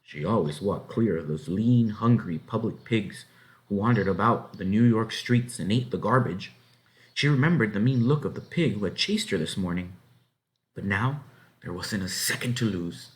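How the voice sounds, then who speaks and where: A man reading a children's novel aloud as narrator, in an expressive, dramatic way. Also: lowish voice, moderate pace. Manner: dramatic, animated. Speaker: story reader.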